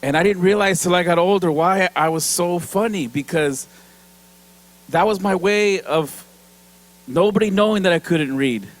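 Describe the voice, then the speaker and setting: A middle-aged man speaks in a high, light voice. He gives a motivational talk at an event, sprinkles in Spanish phrases, and keeps it animated and funny. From Mexican-American, from San Diego. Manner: animated, mixes Spanish phrases, laughs while telling stories. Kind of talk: motivational speech with comedy.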